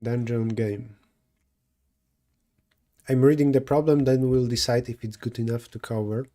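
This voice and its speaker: Mellow-voiced Polish man thinking aloud. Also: medium pitch, steady tone, a little slow, slight background noise. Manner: thinking aloud, self-correcting mid-sentence. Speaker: streamer solving coding problems. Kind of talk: live coding stream, answering chat.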